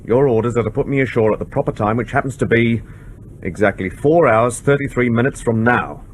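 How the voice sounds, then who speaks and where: A man's voice: medium pitch, brisk, a little hiss or hum, close to an everyday voice. Man acting a blunt, combative civilian specialist. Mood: indignant, demanding. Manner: forceful, insistent, argumentative. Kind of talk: radio drama acting.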